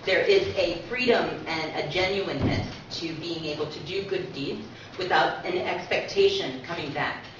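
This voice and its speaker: A woman's voice: lowish voice, moderate pace, hardly any pauses. A woman presenting to an audience in a conversational lecture style, with small asides and read-out sayings. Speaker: guest speaker presenting a book. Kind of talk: informal public lecture with read-out aphorisms. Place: echoing talk room at a community centre. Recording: echoey hall from far off, muffled, noisy.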